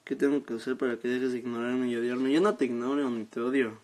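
A seventeen-year-old young man with a Mexican accent, chatting casually and haltingly as he reads out and answers comments on a live stream from his phone. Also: mid-range voice, fairly even intonation, a little mellow. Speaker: social media live streamer. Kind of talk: casual chat, reading out and answering viewer comments. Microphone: phone at arm's length.

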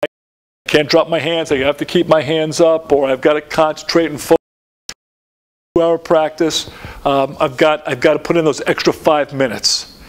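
A man's voice: fairly high pitch, fairly even intonation, normal speed. An older man in his sixties giving a coaching clinic talk in a lecture room, rambling with many fillers and restarts. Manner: rambling, lots of fillers and restarts, gestures at slides. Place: dim lecture room in front of a projected slide.

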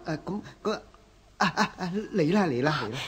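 An actor with a Hong Kong Cantonese accent playing an anxious, obsequious palace eunuch in a radio drama, hesitant and pleading. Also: male voice, on the high side, animated, fairly quick, a bit bright, husky, full character voice. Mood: placating, flustered.

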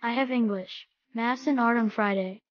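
Narrator doing a little schoolboy, high pitched, short clear answers, dry lo-fi. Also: normal speed, dry recording, close to an everyday voice. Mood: plain. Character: young schoolboy answering questions. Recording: dry, slightly dull lo-fi.

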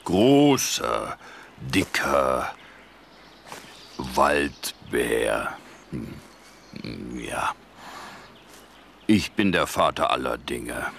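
Extremely deep, gravelly fat forest bear. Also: male voice, very animated, a bit bright, a little hiss or hum, heavily acted. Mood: pompous. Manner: pompous, halting, muddled. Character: big fat forest bear, self-important, ponderous announcer. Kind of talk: children's story introduction in character.

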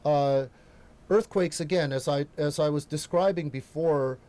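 A middle-aged American man with a voice a little higher than most, talking a TV host through earthquake science from a studio desk mic. He explains with many restarts and self-deprecating asides. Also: unhurried, dark tone. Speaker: geologist guest. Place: local TV studio.

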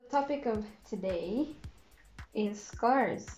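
Young woman vlogger, stuffy nose, mellow voice, chatty storyteller, faint music under her. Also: mid-range voice, animated, takes it slowly, lo-fi sound. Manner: chatty, giggly, acts out quotes. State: congested, losing her voice. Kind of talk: casual storytelling vlog. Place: bedroom, indoors.

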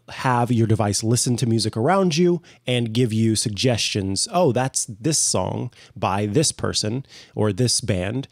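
A man's voice: lowish voice, a little mellow, dry recording. Young man, close and dry. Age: young adult. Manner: explains step by step. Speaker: host of a tech tutorial show. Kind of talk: conversational software walkthrough. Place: small indoor home office. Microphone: close desk studio microphone.

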